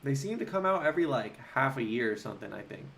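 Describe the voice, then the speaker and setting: A man hosting a casual livestream, talking informally and haltingly as he thinks aloud and answers chat. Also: medium pitch, big pitch swings, gravelly, fairly bright tone.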